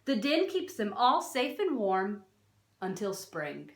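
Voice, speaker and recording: A woman's voice: mid-range voice, sing-song, slow. A woman reading a non-fiction children's book aloud like a teacher, expressively, stressing key words and adding short explanatory asides. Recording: roomy, echoey sound.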